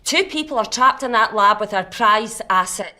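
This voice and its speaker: An actress playing a cold, commanding corporate boss, speaking curtly and with authority in a dramatic style. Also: female voice, mid-range voice, lively intonation, normal speed, sharp tone. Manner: authoritative, curt. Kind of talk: naturalistic dramatic screen acting.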